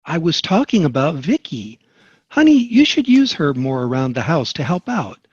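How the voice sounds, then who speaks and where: A man playing a practical, coaxing suburban sitcom father at a table read, husky and animated, over a dull online-call sound. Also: fairly high pitch, normal speed, no room sound.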